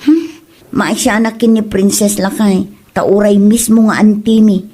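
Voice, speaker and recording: A concerned village wife with a mid-pitched voice and an Ilocano Filipino accent, acted by a woman. She scolds and questions in everyday comedy-drama dialogue. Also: measured pace, warm tone, close to an everyday voice. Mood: scolding, concerned.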